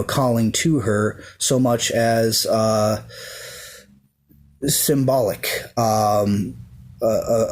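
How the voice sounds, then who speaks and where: A man speaking off the cuff about his personal faith, hesitant and soft-spoken, with lots of ums and uhs and restarts. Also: lowish voice. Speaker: pagan devotee sharing his views. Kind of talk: unscripted talk to camera.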